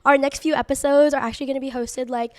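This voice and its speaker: A young woman co-hosting a casual podcast, her voice raspy and hoarse from coughing fits, chatting loosely with lots of fillers. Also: high voice, fairly even intonation, fast, a little mellow, no room sound, clean studio sound. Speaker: podcast co-host. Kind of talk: casual chat. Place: living room set with pink couch.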